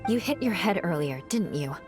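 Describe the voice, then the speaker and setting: A woman's voice: on the low side, lively intonation, normal speed, over music, lightly acted. A woman voicing a frosty but caring young female knight in a video game, composed, commanding and formal. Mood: firm concern.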